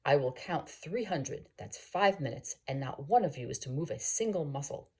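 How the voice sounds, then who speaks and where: Woman reading as a commanding, husky male naturalist. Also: sits low, animated, normal speed, a little mellow, exaggerated voice. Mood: calm command.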